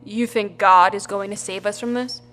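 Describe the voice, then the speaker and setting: An actress playing a tough, sardonic, war-hardened survivor in an audio drama, with a blunt, dry, sarcastic delivery. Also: female voice, mid-range voice, steady tone, moderate pace, fairly bright tone, lightly acted. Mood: defiant, wary.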